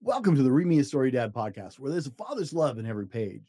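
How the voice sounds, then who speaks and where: A man hosting a read-aloud podcast for children, with an expressive, sing-song storybook delivery. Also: mid-range voice, fast talker, no room sound, clean studio sound, faint music behind. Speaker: podcast host reading a children's book.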